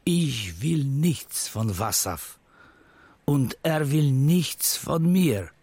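Slow bitter old crook, given up on life, radio play, dry close sound. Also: male voice, medium pitch, expressive, a little mellow, husky, no echo, full character voice. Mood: bitter resignation. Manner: slow, brooding, resigned. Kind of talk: German radio drama acting.

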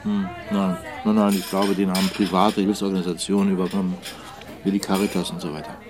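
An actor playing a wry, well-informed journalist friend in a German radio drama, conversational and musing. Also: male voice, deep voice, level tone, brisk, crowd noise, natural voice.

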